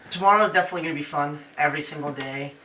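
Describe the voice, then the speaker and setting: A man's voice: on the high side, racing, pushed and bright, roomy sound, recorded from a distance, a little hiss or hum, low-quality recording. A male surfer from Los Angeles giving an upbeat, enthusiastic contest interview. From Los Angeles, California.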